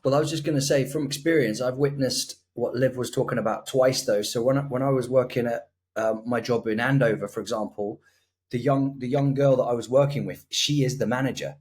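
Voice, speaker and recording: Husky deep-voiced man. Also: fairly quick, darkish tone. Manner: anecdotal, self-deprecating. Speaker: podcast co-host. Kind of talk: casual chat.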